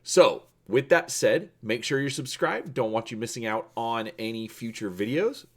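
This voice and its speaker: A man hosting and dramatically reading an adventure story, deliberate and stretching words for emphasis. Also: mid-range voice. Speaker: video host and storybook narrator.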